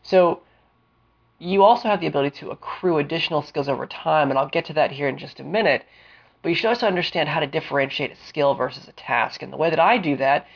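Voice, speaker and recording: A man hosting a solo career-advice podcast, smooth-voiced and deliberate, with a cold and a sniffle, explaining with frequent fillers. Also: fairly high pitch, highs cut off. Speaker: podcast host.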